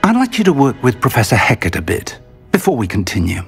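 An actor playing an elderly, cautious and kindly British wizard professor, speaking in measured, formal phrases in a video game. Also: male voice, medium pitch, huge pitch swings, creaky, breathy edge, soft background music, exaggerated voice. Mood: cautious, encouraging. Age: older. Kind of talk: video game cutscene acting.

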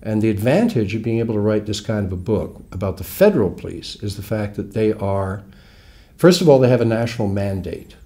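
An American man in his sixties, a guest author explaining things in a wry, measured way, recorded in a small studio on a lapel mic. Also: on the low side, expressive, warm tone. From United States. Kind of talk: relaxed interview talk. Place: small studio with red curtain.

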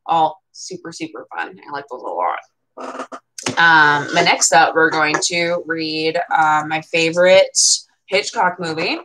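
A woman's voice: deep voice, expressive, a little slow, pushed and bright, slight background noise, low-quality recording. A young adult woman reading children's picture books aloud in a playfully dramatic way, with chatty asides, recorded in a small room at home.

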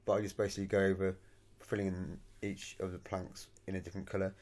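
Low gravelly man explaining his model painting. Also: flat delivery, quick pace, a little mellow. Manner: plain, matter-of-fact, thinking aloud. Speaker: scale model builder. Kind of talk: hobby tutorial voice-over.

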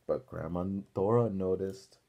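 A young man reading a picture book aloud to children like a teacher, pausing to ask questions. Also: low-pitched, vocal fry, warm tone. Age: young adult. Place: small room indoors.

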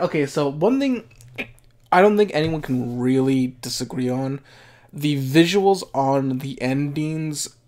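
A man's voice: fairly high pitch, darkish tone. A young man hosting a casual anime reaction, thinking aloud as he watches, with restarts and asides, recorded on a headset in a bedroom. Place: bedroom at home, indoors.

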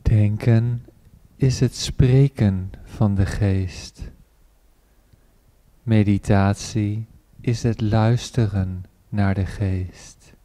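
A man leading a guided meditation as a teacher, in measured phrases with pauses. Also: on the low side, steady tone, warm tone. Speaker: meditation teacher, Buddhist monk.

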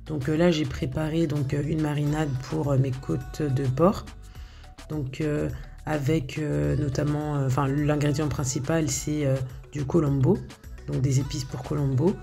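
A woman's voice: low voice, steady tone, a little slow, creaky, dark tone, faint music behind. A woman talking casually over a video of her home cooking, hesitant, with lots of filler sounds and restarts. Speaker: home cook showing her recipes.

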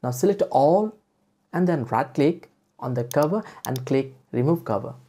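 Female tutorial presenter for screen-recording voice-over; very deep pitch, slightly husky, mellow. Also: lively intonation, normal speed. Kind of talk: software tutorial narration.

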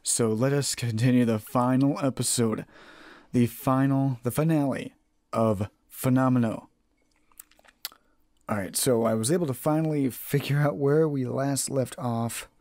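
A man's voice: medium pitch, a little slow, a little mellow, dry recording. A man narrating a horror visual novel as a let's play host, reading aloud and sometimes stumbling and rereading phrases. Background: game music.

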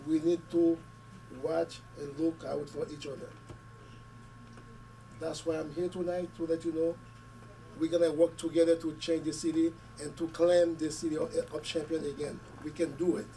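A hoarse man with a cold speaks earnestly from a podium, setting his words out in short phrases with pauses. His voice sits a little high for a man. The mic picks him up from some distance, with faint room noise under it. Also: flat delivery, mellow. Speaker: school committee member. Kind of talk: community meeting speech. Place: community meeting room. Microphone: podium mic, camera at a distance.

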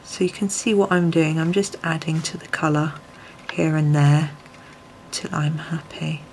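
A woman, a little croaky, gently talking through a colouring tutorial at her desk, often dropping to a near whisper. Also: sits low, flat delivery, a little slow, slight background noise, low-quality recording. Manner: soft-spoken, often drops to a near whisper. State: slightly croaky voice. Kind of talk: relaxed step-by-step tutorial. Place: home desk, filmed from above.

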